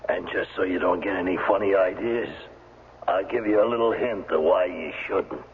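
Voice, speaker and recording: An actor playing a giant, menacing, slow-witted henchman in a 1970s radio drama, blunt and slangy. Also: low-pitched, lightly acted. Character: giant, menacing dim thug henchman with hook hand. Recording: tinny, very noisy vintage radio broadcast.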